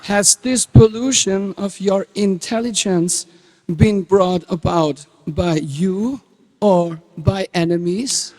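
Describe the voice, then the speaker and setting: Man playing a slow, high-voiced stern schoolteacher. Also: a little mellow. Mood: stern, pleading. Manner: deliberate, theatrical. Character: stern, easily outraged male religious schoolteacher. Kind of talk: stage dramatic reading. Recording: very reverberant hall.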